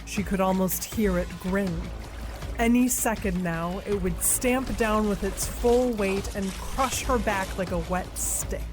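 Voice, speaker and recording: A woman narrating an audio drama in a slow, dramatic reading style. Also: mid-range voice. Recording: narration over music score.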